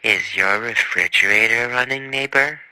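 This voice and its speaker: Casting: male actor as sly puppet prankster, deadpan and mock-polite, very bright forceful voice, close dry sound, faint music. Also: mid-range voice, normal speed, clear, resonant tone, no echo, rough recording.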